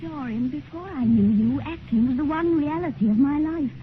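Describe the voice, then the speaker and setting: A woman's voice: high voice, moderate pace, a little hiss or hum, close to an everyday voice. An actress playing a naive, lovestruck young stage girl, impassioned and theatrical, in a 1950s radio drama. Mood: fervent, confessing. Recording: tinny 1950s radio recording.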